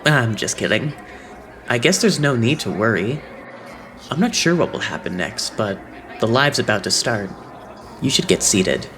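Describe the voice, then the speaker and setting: A man's voice: medium pitch, very animated, normal speed, long pauses, fairly bright tone, a little hiss or hum. A man voicing a suave, slyly playful young idol leader in an anime fandub, composed and teasing.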